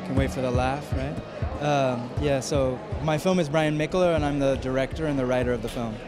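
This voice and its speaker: A man giving a festival interview as a film director, speaking haltingly as he searches for words. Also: mid-range voice, fairly even intonation, loud music behind the voice. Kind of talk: red carpet interview. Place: film festival red carpet. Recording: busy crowd and urban noise behind. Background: crowd chatter.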